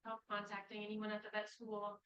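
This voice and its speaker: A woman's voice: medium pitch, completely flat delivery, a little fast, sharp tone. A young college woman from Ohio introducing herself as the club's liaison, in an upbeat and helpful way. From Ohio, USA. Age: young adult. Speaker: student liaison. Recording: dull lo-fi sound.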